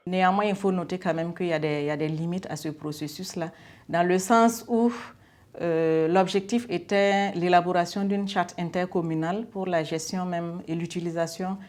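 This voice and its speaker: A woman with a Burkinabe accent explains her view in an interview. Her voice sits a little low for a woman. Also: steady tone, normal speed, a little mellow.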